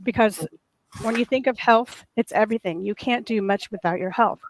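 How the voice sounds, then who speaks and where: A woman on a casual panel chat with a soft voice that turns crackly and raw, telling a long, earnest, indignant story. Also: fairly high pitch, normal speed.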